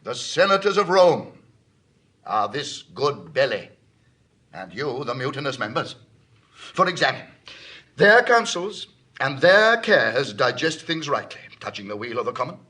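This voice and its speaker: An actor playing a genial, wily Roman patrician in a Shakespearean drama, with a husky voice and a teasing, theatrical delivery. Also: male voice, medium pitch, sing-song, unhurried, sharp tone, full character voice. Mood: scornful, rousing. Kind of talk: classical Shakespearean stage acting.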